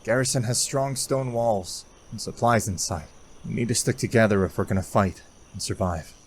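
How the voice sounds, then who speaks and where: An actor playing a determined young soldier with an earnest, persuasive manner in a fantasy audio drama. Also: male voice, on the low side, moderate pace, a little hiss or hum, lightly acted. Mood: earnest, insistent.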